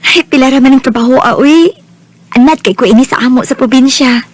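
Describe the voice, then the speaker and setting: An actress playing a shy, grateful young provincial woman in a radio drama, with a Cebuano Filipino accent and a hesitant, expressive delivery. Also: sits high, brisk. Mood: modest, flustered.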